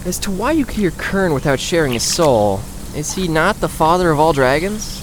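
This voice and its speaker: An actor playing a young male dragon lord who talks in a gentle, encouraging, slightly formal way. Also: on the high side, sing-song, moderate pace. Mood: gentle teasing. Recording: hiss-laden recording with bird ambience.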